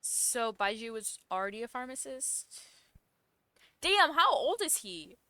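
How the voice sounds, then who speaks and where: A woman's voice: a little high, very animated, measured pace, sharp tone, dry recording. A woman giving casual, playful reaction commentary as a streamer, full of questions and sarcastic asides. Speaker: streamer reacting to a game story.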